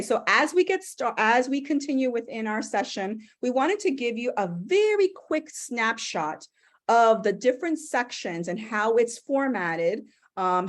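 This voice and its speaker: A woman's voice: fairly high pitch, sing-song, moderate pace. A woman presenting a training session over a video call, chatty and upbeat with frequent fillers. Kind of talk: casual webinar presentation.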